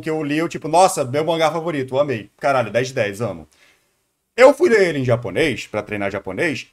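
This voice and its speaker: A man with a Brazilian accent giving casual, rambling, off-the-cuff reaction commentary as a streamer. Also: on the high side. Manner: chatty, rambling, informal.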